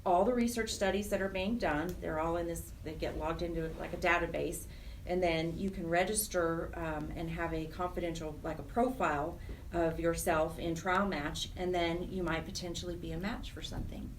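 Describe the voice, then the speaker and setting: A woman's voice: fairly low pitch, fairly even intonation, normal speed. An American woman explaining things earnestly and emphatically as a guest in a radio interview. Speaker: interview guest, program director of a nonprofit chapter. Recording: distant mic with faint noise.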